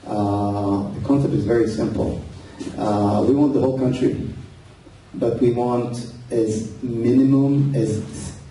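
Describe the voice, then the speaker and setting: A man's voice: mid-range voice, fairly even intonation, unhurried, dark tone, slight background noise. An Israeli man addressing a crowd as a party leader, earnest and plain-spoken, repeating words as he searches for them. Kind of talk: public speech. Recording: echoey hall, heard from far off.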